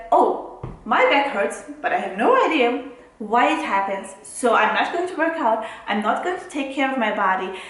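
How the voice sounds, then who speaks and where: Echoey, higher-voiced Turkish-accented woman. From Turkey. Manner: emphatic, blunt, often seeking agreement with tag questions. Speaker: self-care and productivity vlogger. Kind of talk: casual motivational talk to camera. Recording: very echoey, reverberant room.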